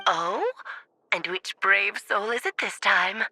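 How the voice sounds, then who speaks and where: A woman's voice: mid-range voice, slow pace, pushed and bright, heavily acted. A woman voicing a whimsical, theatrical witch in an anime game, teasing and airy in manner.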